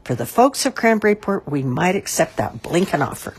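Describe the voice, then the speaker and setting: Woman voicing a husky, hearty old salt. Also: fairly low pitch, big pitch swings, a little fast, voice pushed up, heavily acted. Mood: triumphant, hearty. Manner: hearty, folksy exclamations. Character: whiskered old salty man, good-hearted and bold.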